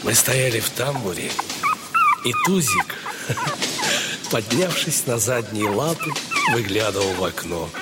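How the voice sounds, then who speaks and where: An actor playing a playful, warm-hearted dog owner who tells his own story in a theatrical, expressive audio dramatization. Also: fairly low pitch, measured pace, pauses often, very noisy. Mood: wistful. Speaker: narrator and dog's owner in a story. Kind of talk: dramatized first-person story narration.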